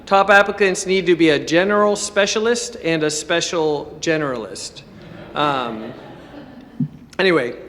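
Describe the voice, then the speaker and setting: A man gives an informal academic talk as a conference presenter. His voice is a little higher than most men's. The hall adds a long reverberant tail to his words. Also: a bit bright. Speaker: professor giving a conference talk. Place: conference hall at a university symposium.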